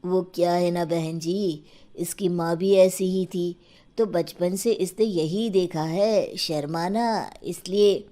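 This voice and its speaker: A woman's voice: fairly low pitch, steady tone, slow, warm tone. A woman playing plain-spoken family folk in an acted moral story. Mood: matter-of-fact.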